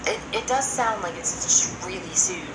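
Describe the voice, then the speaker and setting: A woman's voice: medium pitch, expressive, moderate pace, very noisy. A woman in a casual, opinionated podcast discussion, speaking in an earnest, exasperated way. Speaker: podcast co-host. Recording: roomy echo, loud music behind. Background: loud background music.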